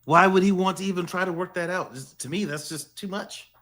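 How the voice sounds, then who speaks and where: A man co-hosting a casual podcast, relaxed, chiming in with short replies and opinions. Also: high voice, brisk. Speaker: podcast co-host. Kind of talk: casual chat.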